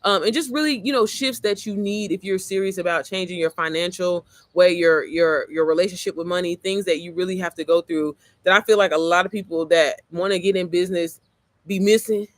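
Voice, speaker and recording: A young adult woman with a low, manly-sounding voice, talking as an online business coach in a casual, profane screen-share walkthrough, calling the viewer girl and baby. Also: fairly even intonation, a little fast, dry recording.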